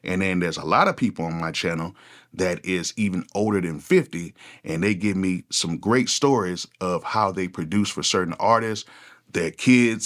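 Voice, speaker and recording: A man's voice: low voice, a bit bright. A man in his forties, a music producer talking casually to camera, with a loose, conversational delivery. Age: 40s. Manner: casual, conversational, repeats "go ahead" often. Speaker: music producer, channel host. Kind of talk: unscripted one-take talk to camera.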